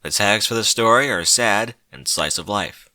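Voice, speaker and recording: A man reading a short story aloud in an expressive, theatrical narrator's style with wry, emphatic phrasing. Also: lowish voice, moderate pace, dry recording, studio quality.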